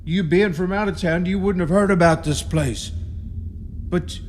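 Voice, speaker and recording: An actor playing an eerie rural caretaker of a haunted tomb in a radio play, telling it in a halting, ominous, folksy way. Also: male voice, high-pitched. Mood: grave, warning. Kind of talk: radio play horror storytelling. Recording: reverberant radio drama, audible background noise.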